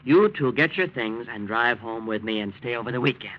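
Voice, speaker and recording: A man's voice: mid-range voice, fairly quick, natural voice. An actor in a 1940s radio drama playing a tipsy, breezy, rich young man who rattles easily. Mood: tipsy, cheerful. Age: young adult. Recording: dull, noisy old-time radio recording.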